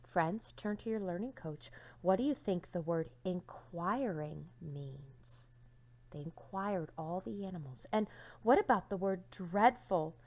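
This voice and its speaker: A woman teacher reading a storybook aloud to young children, dramatic and expressive, with hushed phrases and questions to listeners. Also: fairly low pitch, a little slow.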